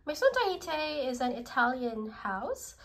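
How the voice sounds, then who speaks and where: A woman's voice: sits high, sing-song, moderate pace, a bit bright. Congested Australian woman reviewing perfumes. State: congested from a cold. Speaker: perfume vlogger. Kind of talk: casual product review.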